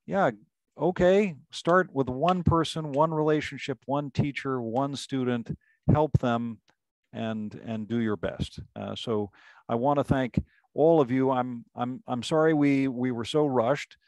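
Middle-aged moderator, headset mic, dry sound, mid pitch, halting. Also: male voice, dry recording. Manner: warm-mannered, restarts, many fillers. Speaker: panel moderator. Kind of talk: moderating a virtual panel. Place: home room, indoors. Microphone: headset mic on video call.

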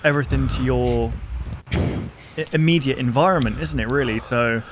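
A man's voice: mid-range voice, moderate pace. A man, giving casual, wry running commentary into a close microphone. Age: 20s. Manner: wry, musing asides. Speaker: reaction video host. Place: small bedroom studio indoors. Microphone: close desk microphone. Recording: close mic, film audio noise behind.

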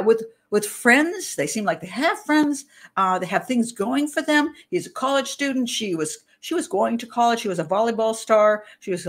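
An older woman with a slightly hoarse voice, hosting a livestream from home into a webcam. She chats in a loose, rambling way, breaks off mid-thought and laughs easily. Her pitch sits at an ordinary middle height for a woman. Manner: chatty, rambling, self-interrupting, quick to laugh. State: hoarse, clearing throat. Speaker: host, criminal profiler. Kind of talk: casual livestream talk.

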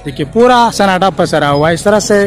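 A man's voice: high voice, lively intonation, a little fast. A man giving casual market commentary as a vlogger, mixing English words into Hindi. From Jharkhand, India. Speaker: vlogger, host. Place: busy open-air village market. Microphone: handheld phone at arm's length. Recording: outdoor crowd noise with music behind.

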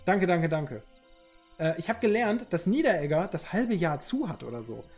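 A man in his thirties chats loosely with his viewers, joking in slang as he reads their messages aloud. His voice sits a little high for a man. A headset mic picks him up in a room at home, with faint music behind. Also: sing-song, normal speed, darkish tone. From Northern Germany. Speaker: streamer. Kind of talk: casual chat with viewers.

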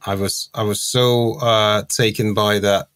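A man co-presenting a live webinar over a video call, speaking in hesitant, halting bursts. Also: on the low side, little pitch movement, a bit bright, clear, resonant tone.

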